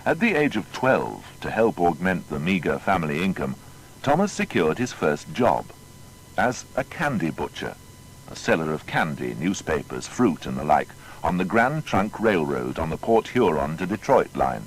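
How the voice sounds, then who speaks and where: A man reading a scripted biography as narrator, in a measured and formal storytelling manner. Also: deep voice, very animated, a little hiss or hum, low-quality recording.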